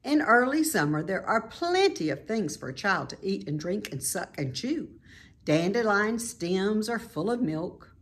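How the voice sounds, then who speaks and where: A woman reading a children's novel aloud in an expressive, deliberate way. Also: medium pitch. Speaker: storybook reader. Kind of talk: scripted narration of a children's novel.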